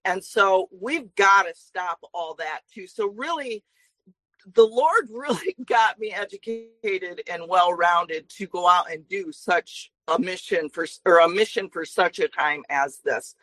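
A woman's voice: fairly low pitch, animated, measured pace, fairly bright tone, dry recording, low-quality recording. A woman in her forties, a traveling minister, talking earnestly as a guest on a talk show with frequent fillers. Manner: earnest, talkative, many fillers.

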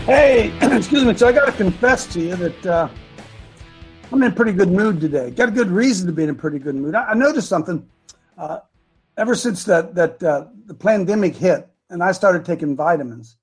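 An American man with a raspy voice hosting a religious talk show, chatting casually in a folksy, animated way and telling rambling personal stories. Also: high voice, brisk, soft background music. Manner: folksy, animated, repeats phrases for emphasis.

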